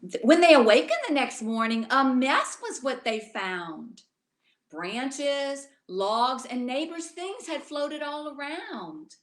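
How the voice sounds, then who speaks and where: A woman from Louisiana reading a bedtime story aloud to children in an expressive way, speaking directly to her young listeners. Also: high voice, slow pace, reverberant.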